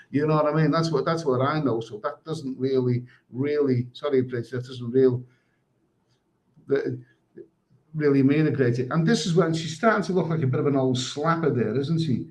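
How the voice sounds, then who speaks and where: A man's voice: mid-range voice, a little mellow, poor audio. A man hosting a casual livestream, reading viewer comments in a crude, rambling way and often tripping over his words. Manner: crude, rambling, stumbles and repeats himself. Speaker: live stream host.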